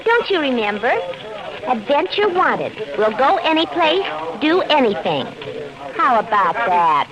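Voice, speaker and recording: High, perky, nosy office girl. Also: big pitch swings, unhurried, a crowd in the background, rough recording. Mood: perky curiosity. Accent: American. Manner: chirpy, inquisitive, slangy. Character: perky, nosy young female newspaper clerk. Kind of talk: 1940s radio acting. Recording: old radio recording, murmur of voices behind.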